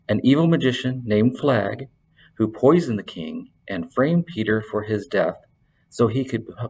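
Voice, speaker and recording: A man's voice: on the low side, breathy edge, poor audio. A man presenting and reading a fantasy story aloud as scripted narration. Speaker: library reader and presenter.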